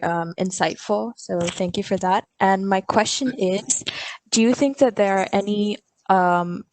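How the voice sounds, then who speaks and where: A woman's voice: medium pitch, rough recording. A young woman with an Indian accent, a first-semester law student, asking a question over a video call in a nervous, hesitant way. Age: young adult.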